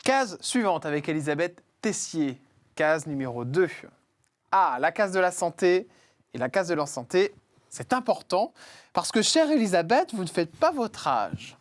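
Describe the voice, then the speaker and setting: A man's voice: high-pitched, big pitch swings, unhurried, no echo. A man hosting a studio interview, asking probing questions and giving short acknowledgements. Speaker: talk show host. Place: studio set.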